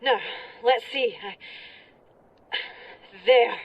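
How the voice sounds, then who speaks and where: A woman's voice: a little high, drawn-out words, vocal fry, lo-fi sound, heavily acted. An actress playing a frantic, commanding woman fleeing disaster in an audio drama, urgent and exclamatory.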